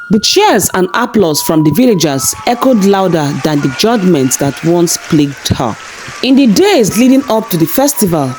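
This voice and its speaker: A woman's voice: fairly low pitch, darkish tone. A woman narrating a scripted folktale as a storyteller.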